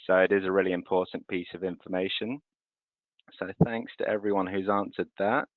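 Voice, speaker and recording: A man's voice: fairly low pitch, no room sound. Businesslike man on a tinny call. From France. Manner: fluent, businesslike, explanatory. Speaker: webinar host, currency transfer representative. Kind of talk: presenting a webinar. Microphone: video call. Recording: tinny video call audio.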